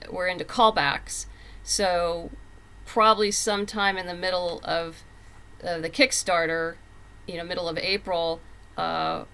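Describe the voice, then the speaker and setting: A woman's voice: on the low side, sharp tone, rough recording. A woman talking casually as an interview guest, explaining things in a rambling way with self-interruptions. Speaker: podcast guest, comics writer.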